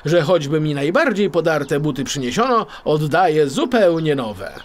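A man voicing an excitable, boastful shoemaker in a children's story, speaking in a hasty, emphatic way. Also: fairly high pitch, moderate pace, a little hiss or hum, natural voice.

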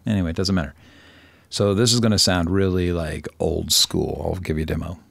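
A man presenting an informal software demonstration, rambling a little, correcting himself and joking dryly. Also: sits low, fairly even intonation, a little fast, vocal fry, dry recording. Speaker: tutorial presenter.

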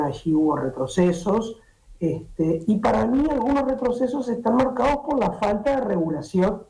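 A man's voice: sits high, a little mellow. A middle-aged Uruguayan man, a doctor, explaining at length as a guest over a video call, with many restarts and fillers. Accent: Uruguayan Spanish. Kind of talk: interview on a streaming show. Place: indoor home office. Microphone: laptop or webcam on a video call. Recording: echoey video-call audio.

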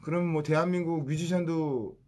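A man's voice: on the high side, moderate pace, slight background noise. A man hosting a radio show, chatting casually and teasing his guest with questions. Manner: lively, joking, questions the guest.

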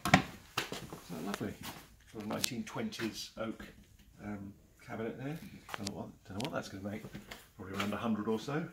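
Chatty English auctioneer with a gravelly voice. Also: male voice, on the low side, lively intonation, brisk. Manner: chatty and enthusiastic, chuckling often. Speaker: auctioneer giving a saleroom tour. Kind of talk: casual walk-through commentary. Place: large cluttered indoor auction saleroom. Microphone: handheld camera following him. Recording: noisy saleroom, walking camera mic.

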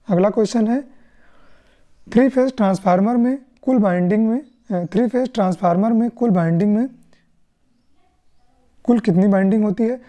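A woman's voice: medium pitch, dark tone. A woman with an Indian accent teaching exam questions step by step, explaining and often checking in with short tag questions. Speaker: electrician exam tutor. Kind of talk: question-and-answer lesson explanation.

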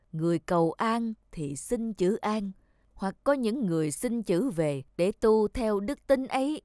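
Woman acting a serene, measured calligraphy master. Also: medium pitch, dark tone, no echo, clean studio sound, lightly acted. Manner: measured, explanatory. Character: serene, learned male village calligraphy master. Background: music.

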